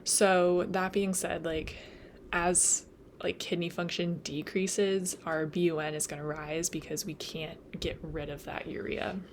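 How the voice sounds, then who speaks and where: Young woman, low voice, hoarse from losing her voice, chatty tutor, faint hiss behind. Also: little pitch movement, measured pace. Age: young adult. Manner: chatty, lots of "like" and "um", laughs at own slips. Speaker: nursing student tutor. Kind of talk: casual study lecture.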